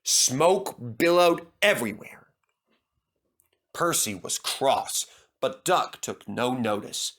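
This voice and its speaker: A man's voice: mid-range voice, expressive, slow pace, a bit bright. A man narrating a scripted children's story as a storyteller.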